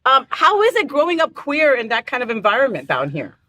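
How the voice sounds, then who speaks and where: A woman's voice: high-pitched, wildly swooping pitch, fairly quick, bright, forceful tone. A middle-aged woman hosting a casual interview, bubbly and teasing, laughing often. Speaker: host.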